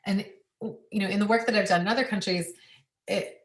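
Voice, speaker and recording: A woman speaking on a panel about food systems, thoughtful and full of pauses and ums. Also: mid-range voice, fairly even intonation, roomy sound, recorded from a distance. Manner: thoughtful, many ums and restarts. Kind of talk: webinar panel talk.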